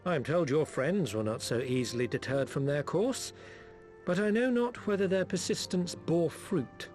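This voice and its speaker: A courteous, guarded informant from a video game, acted by a man, in a slightly high male voice. The delivery is formal and measured, with faint music underneath. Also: animated, darkish tone, close to an everyday voice. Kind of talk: video game character acting.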